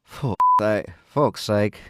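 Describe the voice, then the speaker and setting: A man's voice: fairly low pitch, slow, a bit bright, dry recording. A man playing a brash young cowboy of the Old West who grumbles and swears in an exasperated way for an audiobook. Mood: startled.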